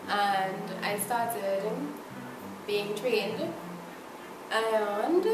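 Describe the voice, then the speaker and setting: A woman singer recalling her past in an unhurried interview. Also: mid-range voice. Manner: slow, unhurried. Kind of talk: casual interview. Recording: distant, echoey, music behind.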